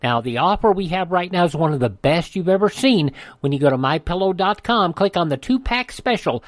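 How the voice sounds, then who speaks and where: A woman's voice: on the low side, expressive, a little fast, no room sound, clean studio sound. A woman delivering a scripted advertising read as a radio announcer. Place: dry studio.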